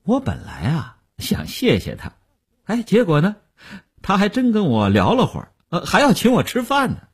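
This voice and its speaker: A man's voice: on the low side, big pitch swings, husky, full character voice. A man playing a smug, boastful stock speculator who cajoles his partner in chatty audiobook dialogue. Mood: smug, excited. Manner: chatty, cajoling, with drawn-out particles and chuckles.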